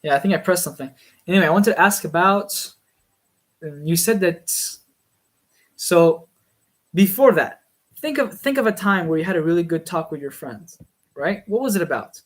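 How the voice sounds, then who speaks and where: A man's voice: high voice, expressive, normal speed, pauses often. A man in a casual online voice chat, teasing and pushing others with questions. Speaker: voice chat participant.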